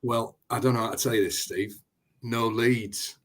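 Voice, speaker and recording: A man's voice: medium pitch, fairly even intonation, moderate pace. A man, a guest tipster on a betting show, chatting casually with dry, teasing quips. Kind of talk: casual live studio chat.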